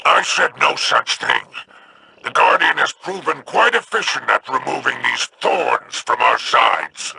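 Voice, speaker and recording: A sly alien crime lord who drawls his wry, insinuating remarks. The voice is heavily gravelly with a bright, cutting edge and a slow pace. A faint hiss sits under the game audio. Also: medium pitch, animated, full character voice, low-quality recording. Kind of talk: video game voice acting.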